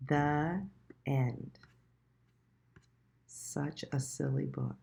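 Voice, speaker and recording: A woman reading a children's storybook aloud in a deliberate, expressive storytelling manner. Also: sits low, creaky. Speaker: children's book reader.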